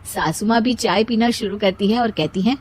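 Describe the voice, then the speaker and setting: A woman's voice: mid-range voice, moderate pace, darkish tone, a little hiss or hum. A woman narrating a scripted moral story for children as the storyteller.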